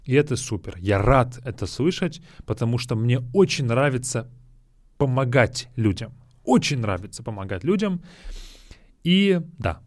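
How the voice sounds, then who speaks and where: A man who is a native Russian speaker, hosting a podcast for learners, speaking slowly and repeating words to explain them. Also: mid-range voice, very animated, no echo, studio quality. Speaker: Russian teacher and podcast host. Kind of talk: slow teaching podcast, Russian with English glosses.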